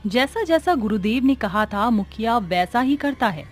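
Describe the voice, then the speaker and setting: A woman's voice: on the high side, animated, normal speed. Woman narrating a horror story over music. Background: background music.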